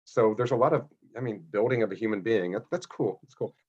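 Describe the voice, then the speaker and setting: A man's voice: lowish voice, brisk, a little mellow, breathy edge, dull audio. A man moderating a casual panel discussion, rambling and enthusiastic with frequent restarts.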